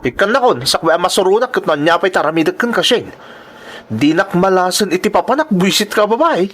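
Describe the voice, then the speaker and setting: A man's voice: high-pitched, animated, fairly quick, fairly bright tone. A man playing an abusive, hot-tempered gambler husband who sneers and makes demands in an audio drama. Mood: angry, demanding. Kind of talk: Ilocano audio drama acting.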